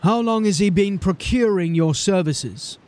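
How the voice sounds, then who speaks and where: A man's voice: high voice, expressive, unhurried, a little mellow. A man playing a stern male city watch sergeant who questions people in a formal, suspicious way. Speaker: police sergeant.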